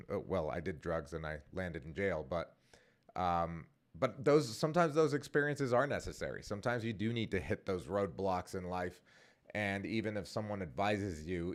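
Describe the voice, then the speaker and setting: A man in his forties hosting his own vlog, talking casually and rambling with many fillers and restarts. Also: deep voice. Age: 40s. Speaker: vlogger and podcast host.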